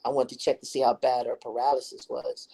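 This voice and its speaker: A man with a high-toned voice, calling in to a casual talk show from a quiet hospital room and telling stories with lively quoted voices. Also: a little fast, gravelly, breathy edge. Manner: animated storyteller, acts out quotes in a high voice. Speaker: guest caller. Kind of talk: casual chat.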